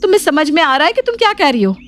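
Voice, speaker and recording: A woman plays an assertive middle-aged mother from a cartoon family story. Her voice is fairly high, firm and persuasive, with faint music behind. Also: very animated, very fast, fairly bright tone. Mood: indignant.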